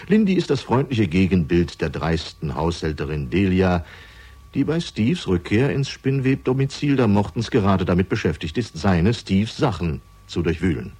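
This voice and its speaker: A man reading scripted narration for a crime radio drama, measured and dramatic, with deliberate pauses. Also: on the low side, a little mellow, slightly rough.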